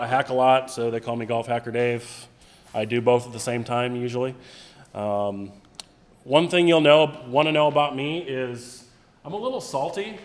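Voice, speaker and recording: Man, conference speaker, blunt and salty humor, medium pitch, long hall reverb. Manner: blunt, salty, joking with swearing. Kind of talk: casual conference talk. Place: large conference room with stage.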